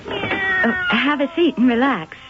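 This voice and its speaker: An actress playing a friendly, easily alarmed young woman in a radio mystery drama, polite and expressive. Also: high voice, unhurried. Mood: hospitable. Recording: muffled, noisy 1980 radio broadcast.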